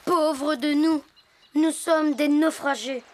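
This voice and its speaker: A woman's voice: high-pitched, steady tone, slow pace, darkish tone, slight background noise. A woman voicing a cautious, imaginative little boy in a children's cartoon, earnest and a little theatrical. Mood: dramatic storytelling. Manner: earnest, theatrical when telling stories. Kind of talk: French animated children's series dubbing. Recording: music behind the voice.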